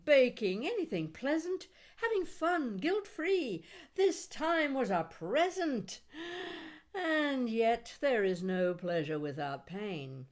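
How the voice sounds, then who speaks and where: A woman reading her own poetry aloud in an expressive spoken-word style, with deliberate, dramatic phrasing and wry humour. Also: mid-range voice. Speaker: poet reading own work.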